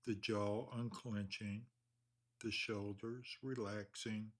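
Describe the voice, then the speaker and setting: A man leading a guided meditation, speaking slowly and calmly with long pauses. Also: fairly low pitch. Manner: slow, calm, measured delivery with long pauses.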